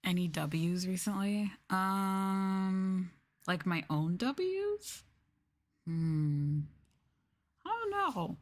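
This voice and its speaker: A woman streamer chatting casually in a soft-spoken ASMR style, rambling and swearing as she goes. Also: medium pitch, very animated, drawn-out words. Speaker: VTuber streamer.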